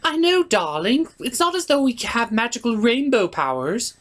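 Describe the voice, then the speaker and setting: A man voices a sassy young pony girl for a puppet comedy. The voice is pushed up high, dramatic and very animated. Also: normal speed, heavily acted. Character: excitable, sassy young female pony heroines. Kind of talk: comic puppet show voice.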